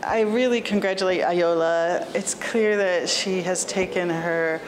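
A woman's voice: fairly low pitch, unhurried. A middle-aged woman giving her comments as a guest in an interview. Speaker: exhibition guest being interviewed. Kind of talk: spontaneous interview. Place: indoor art gallery. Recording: noisy gallery ambience.

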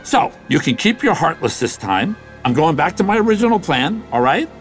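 An actor playing a fast-talking, theatrical, sarcastic god of the underworld, a scheming wisecracking villain in a video game. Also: male voice, on the high side, sing-song, soft background music. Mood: sardonic. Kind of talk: video game cutscene acting.

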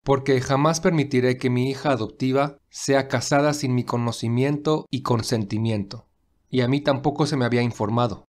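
Casting: male actor as wealthy young head of family, mid-pitched, firm and gallant, audio drama. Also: fairly even intonation, normal speed, a little mellow, natural voice. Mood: angry, firm. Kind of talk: audio story dialogue. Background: classical music.

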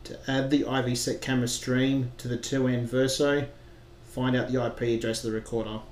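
Flat-toned man narrating software steps, roomy. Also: mid-range voice, brisk. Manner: plain step-by-step instruction. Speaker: software trainer. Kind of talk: instructional screen-recording narration. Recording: roomy echo with background noise.

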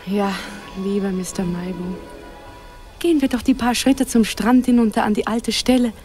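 An actress playing an immortal sea nymph, stately and lovelorn, solemn with hushed phrases, in a radio play. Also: female voice, mid-range voice, normal speed, faint music behind, close to an everyday voice. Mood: wistful longing. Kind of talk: German radio play acting.

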